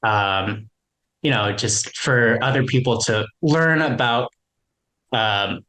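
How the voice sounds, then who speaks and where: A man's voice: mid-range voice, slow, sharp tone, low-quality recording. A man who stutters, sharing a personal reflection as a webinar panelist, with frequent repeats and fillers.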